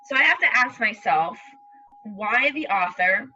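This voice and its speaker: A woman's voice: fairly high pitch, lively intonation, measured pace, sharp tone, slightly rough, muffled recording. A woman teacher leading a read-aloud lesson for young children, explaining step by step and speaking directly to them. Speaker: elementary school teacher.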